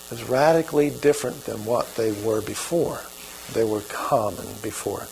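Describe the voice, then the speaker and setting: Gravelly Oklahoma-accented preacher man. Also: mid-range voice, slight background noise, poor audio. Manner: folksy, conversational preaching. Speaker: pastor. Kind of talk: sermon.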